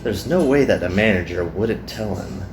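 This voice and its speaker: A man's voice: lowish voice, lively intonation, moderate pace, roomy sound, slight background noise, natural voice. A man reading the part of a weary, grumbling young male hero in an anime game.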